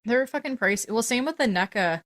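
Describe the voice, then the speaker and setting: A woman's voice: a little high, expressive, a little fast, a bit bright. A woman hosting a podcast in casual chat, presenting award categories in an informal, casually profane way. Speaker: podcast host.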